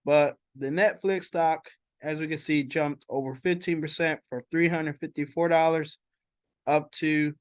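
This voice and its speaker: Guy doing casual stock market rundown, smooth clear voice, close dry sound, kinda halting. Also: on the high side, fairly even intonation, dry recording. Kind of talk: informal screen-share market commentary.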